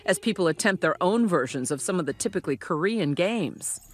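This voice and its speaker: A woman's voice: fairly low pitch, lively intonation, a little fast. A woman reading a scripted news feature as a reporter's voice-over. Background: music under the voice.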